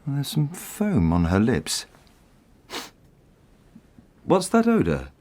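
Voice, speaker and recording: A man playing a grave, measured family doctor in a radio drama, with a clinical manner. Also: mid-range voice, huge pitch swings, darkish tone. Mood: suspicious. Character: calm, grave, matter-of-fact family doctor.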